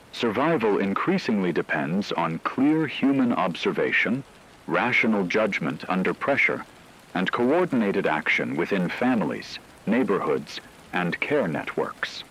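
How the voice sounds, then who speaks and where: A man playing a solemn radio news broadcaster, delivering a scripted emergency report in a measured, formal way. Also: sits low, a bit bright, slightly rough, heavily acted, poor audio. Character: grave male radio newsman reporting societal collapse.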